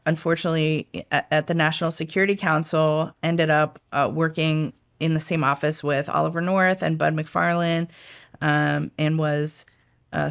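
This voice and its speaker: Woman, middle-aged, gravelly voice, halting speech, former analyst being interviewed. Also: deep voice, fairly bright tone. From United States, raised in Washington DC area. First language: Italian. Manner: halting, many restarts and fillers. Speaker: guest, former intelligence analyst. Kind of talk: casual interview talk.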